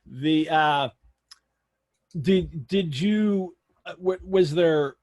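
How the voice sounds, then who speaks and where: A man hosting a live online interview over a video call, asking questions in a halting, rambling, casual way. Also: a little high, darkish tone, no echo. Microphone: video call microphone.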